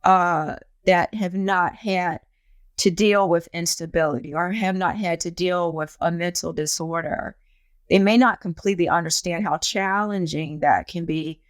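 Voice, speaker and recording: A woman's voice: lowish voice, fairly even intonation, unhurried, no echo. Woman hosting an interview podcast. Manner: encouraging, affirming murmurs. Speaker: podcast host. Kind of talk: interview host.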